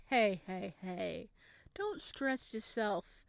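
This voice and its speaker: Woman voicing a soft-spoken sinister male captor. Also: mid-range voice, sing-song, extremely slow, gravelly, no echo, exaggerated voice. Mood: creepy, coaxing. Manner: hushed, coaxing menace.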